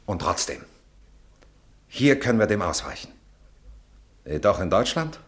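A man's voice: lowish voice, sing-song, unhurried. An actor playing a worried male friend in a radio play, arguing insistently. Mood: urgent. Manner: urgent, insistent. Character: Pierre, worried male friend urging caution.

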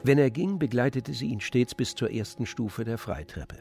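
A man narrating a literary story in a measured, descriptive manner. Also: medium pitch, vocal fry, darkish tone, soft background music.

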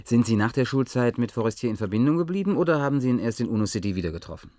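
A man's voice: medium pitch, animated, very fast, darkish tone, dry recording, studio quality, lightly acted. An actor in a German radio play, playing a polite, earnest man who asks questions in a deferential, tentative way. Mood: inquiring. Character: polite, earnest man interviewing a bunker survivor.

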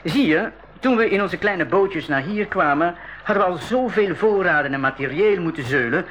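An actor playing a friendly, patient expedition leader who explains things in a radio play. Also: male voice, high-pitched, expressive, moderate pace, fairly bright tone, slight background noise. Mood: patient, explaining. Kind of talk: 1980s school radio drama acting. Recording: dull 1980s radio recording.

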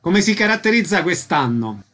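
A man with a fairly high voice hosts a radio interview. He puts questions to his guests and gives announcements. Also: sing-song, moderate pace, fairly bright tone.

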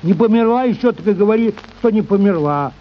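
Grumbling, high-pitched village scribe. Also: male voice, expressive, highs cut off. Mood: exasperated. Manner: grumbling, halting, folksy. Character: grumpy, easily muddled village church scribe. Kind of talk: radio play acting of a classic short story.

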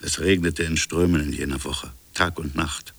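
A man playing a reflective French colonial official in a literary radio drama, recalling a buried affair in musing, fragmentary phrases with a gravelly, husky voice. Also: rumbling low, fairly even intonation, normal speed, fairly bright tone, no room sound, full character voice. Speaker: narrator and protagonist.

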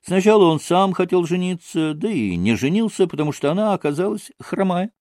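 A man voicing an obsequious, giggly old provincial gentleman in a storybook, telling tales in drawn-out, servile phrases. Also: high-pitched, expressive, dry recording, clean studio sound, pitched above the performer's own voice. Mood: chatty, sheepish.

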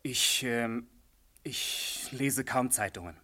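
Bright-voiced, edgy young student. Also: male voice, medium pitch. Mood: nervous, indignant. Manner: touchy, hesitant, quick to bristle. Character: edgy, defensive young male medical student under suspicion. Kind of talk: German radio play acting.

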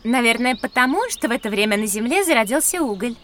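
A woman's voice: fairly high pitch, animated, fast, fairly bright tone, recorded from a distance. A woman playing an alert, clever, slightly tart young girl in a children's audio drama. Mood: inquisitive.

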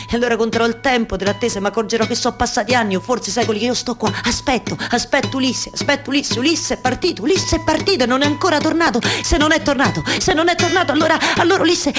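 An anguished wife at her loom, played by a woman in a radio theatre monologue, speaking with a Roman accent. She circles obsessively over the same phrases, halting and then rushing, in colloquial dialect. Music plays behind her. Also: mid-range voice, close to an everyday voice. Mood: anxious, reflective, then desperate, faltering. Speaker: stage actress.

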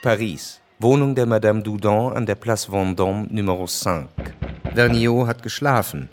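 A man reads scripted narration for a radio drama. His voice is fairly low, his delivery measured and factual, with slight background noise. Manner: measured, matter-of-fact.

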